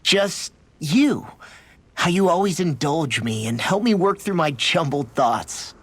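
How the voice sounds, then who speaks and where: A man's voice: fairly high pitch, animated, takes it slowly, no room sound. An actor playing a brash but earnest teenage boy fighter who talks informally in a video game cutscene. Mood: earnest, admiring.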